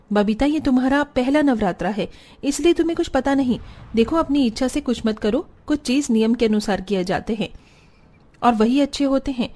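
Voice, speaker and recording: A woman voicing a patient, reasonable woman of a family in a cartoon story, measured and gently reproving. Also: on the high side, close to an everyday voice. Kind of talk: Hindi cartoon story dialogue.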